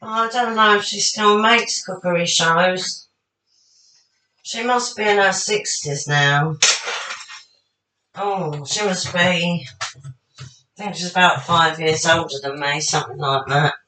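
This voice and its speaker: A woman, slightly husky and tired, chatting casually to herself while making a salad at home. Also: fairly low pitch, steady tone, takes it slowly, long pauses, pushed and bright, low-quality recording. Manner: chatty, rambling, talks to herself while working. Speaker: home cook. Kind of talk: casual cooking demonstration.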